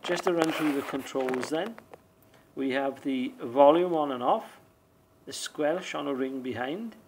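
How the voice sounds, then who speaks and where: A man giving a casual hands-on demonstration in an unhurried, plain-spoken way. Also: mid-range voice, a little mellow, slight background noise, low-quality recording. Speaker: presenter of a radio demonstration video. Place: small indoor room or shed.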